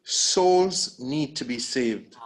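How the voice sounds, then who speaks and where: Guy reading the part of a haunted brooding man in a web drama, guilty, thinks out loud, mid pitched voice. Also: animated, drawn-out words, rough recording. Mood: grave. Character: brooding, guilt-ridden man seeking redemption in thriller drama. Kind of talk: table read of web series drama.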